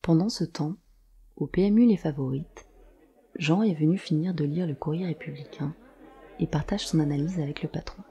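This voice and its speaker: A woman's voice: low-pitched, moderate pace, warm tone, slightly rough, muffled recording, full character voice. A woman acting as a dry, sardonic storyteller, narrating a noir audio drama. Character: wry, detached storyteller of a noir tale.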